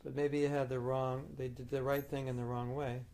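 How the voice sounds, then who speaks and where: A man's voice: medium pitch, level tone, brisk, darkish tone. A man leading a question and answer session as a spiritual teacher, arguing his point firmly and patiently. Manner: firm, reasoning, argumentative. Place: meeting room.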